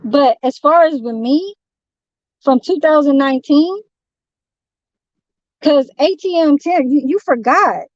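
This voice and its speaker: A woman's voice: high-pitched, slow, long pauses, no echo. A woman from San Francisco ranting on a livestream, swearing constantly and talking in a rambling, confrontational way. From San Francisco, United States. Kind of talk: heated livestream rant. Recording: dull lo-fi dry stream audio.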